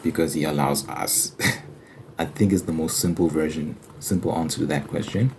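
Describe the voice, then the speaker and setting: A man's voice: a bass voice, steady tone, normal speed, background noise. A man speaking in an informal ASMR religious style, earnest and conversational, with repeated phrases for emphasis. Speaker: ASMR Bible study host. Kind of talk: ASMR, informal religious talk ending in prayer.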